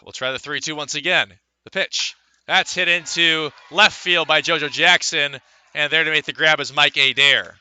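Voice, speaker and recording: Bright, forceful male baseball announcer. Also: on the high side, fairly even intonation, moderate pace, smooth. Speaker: play-by-play announcer. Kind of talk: live radio baseball commentary.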